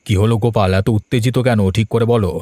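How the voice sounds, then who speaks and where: A man voicing a grave, commanding village leader in a fairy tale cartoon, measured and authoritative. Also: on the low side, steady tone, dark tone, no room sound, voice pushed down, natural voice. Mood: grave, cautious. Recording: voice over background music.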